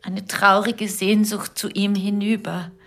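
An actress playing a grieving, bitter wife and mother in a radio drama, speaking intensely in deliberate, broken phrases. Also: mid-range voice, flat delivery, creaky, fairly bright tone. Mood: bitter, hushed.

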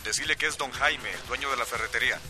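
Actor as a firm, curt shop boss. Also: male voice, mid-range voice, fast talker, over music, close to an everyday voice. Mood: firm, dismissive. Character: firm, dismissive male hardware store owner on phone. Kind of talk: radio soap opera acting.

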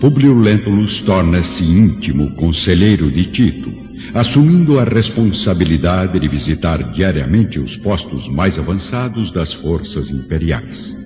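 A man's voice: sits low, sing-song, unhurried, dark tone, slightly rough. A man reading scripted narration for a radio drama in a solemn, literary manner. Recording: muffled recording with faint music.

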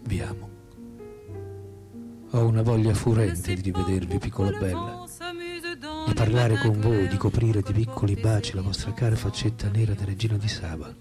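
An actor playing an ardent, sardonic philosopher in love, speaking in an intimate, literary, deliberate way in a radio drama. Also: male voice, on the low side, fairly even intonation, natural voice. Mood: ardent, longing. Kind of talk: radio drama acting, reading love letters. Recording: music behind the voice.

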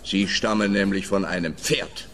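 An actor playing a pompous police officer in a radio drama, who talks down to the detective with self-important condescension. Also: male voice, deep voice, steady tone, moderate pace, a bit bright, a little hiss or hum. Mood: smug. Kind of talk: radio play acting.

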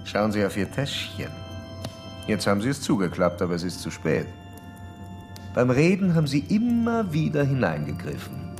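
An actor playing a self-assured, insistent psychoanalyst in a German radio drama, questioning with pedantic, learned formality. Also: male voice, medium pitch, sing-song, normal speed, darkish tone, soft background music, natural voice. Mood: accusing, triumphant. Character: probing, self-assured male doctor psychoanalysing a young woman.

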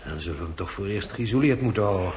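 An actor playing a philosophical doctor in a radio drama, talking in a pondering, deliberate way. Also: male voice, low voice, lively intonation, darkish tone. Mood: musing, calm. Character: musing, philosophical male doctor. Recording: noisy recording with clicks.

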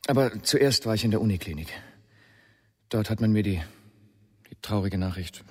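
An actor playing an anxious, courteous family friend, earnest in delivery, in a radio drama. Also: male voice, lowish voice, moderate pace, husky. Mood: subdued, polite. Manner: polite, earnest.

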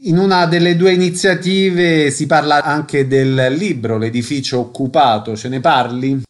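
A man's voice: fairly high pitch, a little slow. A man hosting a radio programme, presenting items and interviewing a guest. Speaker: radio host.

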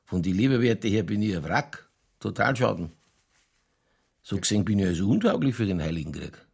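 A man playing a boozy, world-weary middle-aged police chief in a radio play, with a Lower Bavarian accent and a rambling, tipsy, cynically jovial delivery. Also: expressive, a little fast, creaky, darkish tone, husky, full character voice. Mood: wry, rambling. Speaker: actor in a crime radio play. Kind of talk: naturalistic German radio drama dialogue.

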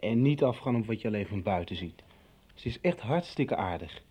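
An actor in a radio soap playing an earnest young man, probing and confiding, with a husky voice. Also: on the low side, animated, normal speed, warm tone. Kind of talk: 1990s Dutch radio soap acting.

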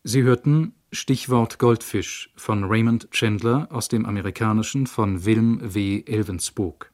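A man's voice: fairly low pitch, measured pace, darkish tone. A man working as a radio announcer, formally reading scripted credits.

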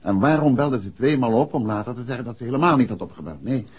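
Actor as animated male detective, old radio play. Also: medium pitch, fast, natural voice. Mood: probing. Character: married male detective, protective, probing and authoritative. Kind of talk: 1960s radio detective drama acting. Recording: dull, noisy 1960s radio recording.

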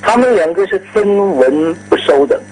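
A man reminiscing casually in a halting way, ending phrases on drawn-out particles. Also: sits high, expressive, darkish tone, a little hiss or hum, low-quality recording. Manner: halting, frequent trailing particles.

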